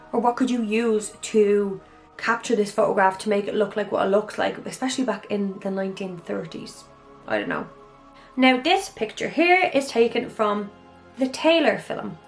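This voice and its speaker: Slightly hoarse, chatty woman vlogger. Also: a little high. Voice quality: raspy. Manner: chatty and enthusiastic, lots of "like". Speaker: YouTube channel host. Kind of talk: casual monologue on a mystery topic.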